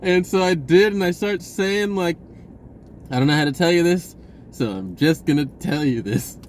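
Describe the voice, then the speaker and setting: A man's voice: on the high side, lively intonation, normal speed, slight background noise. A man telling a personal story in a casual, rambling, slangy way and acting out bits of dialogue. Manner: informal slangy speech, acts out dialogue. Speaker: vlogger recounting personal experiences.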